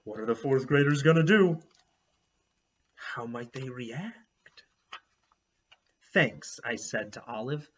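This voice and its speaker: A man, a teacher, reading a children's chapter book aloud with expressive, animated storytelling. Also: mid-range voice, a little slow, long pauses. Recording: dull lo-fi recording.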